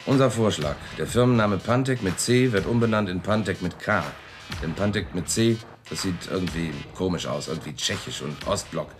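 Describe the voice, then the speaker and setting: A man playing a slick, self-assured advertising consultant in a radio drama, pitching in clipped slogans. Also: moderate pace, lightly acted. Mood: selling hard. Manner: salesman's pitch in clipped slogans with a pet filler phrase. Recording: music under the voice.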